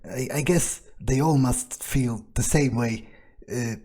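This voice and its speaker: A man's voice: mid-range voice, slow pace, dark tone, slightly rough. A man thinking aloud in character as a cynical vampire during gameplay, hesitant, disdainful and sweary. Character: cynical, scornful male vampire. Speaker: gamer playing in character.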